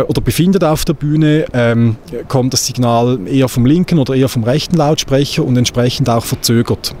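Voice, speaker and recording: A man gives a measured, hesitant technical explanation as a sound engineer, speaking outdoors into a handheld microphone. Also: mid-range voice, a little mellow. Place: outdoors on an open-air stage. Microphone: handheld microphone held at chest. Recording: clear outdoor traffic and ambient noise.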